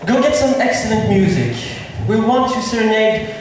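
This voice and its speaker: A man's voice: high voice, moderate pace, natural voice. A man playing a genial, mischievous, lordly prince in an amateur school stage comedy. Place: large echoey indoor hall. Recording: very echoey hall from far off, hissy.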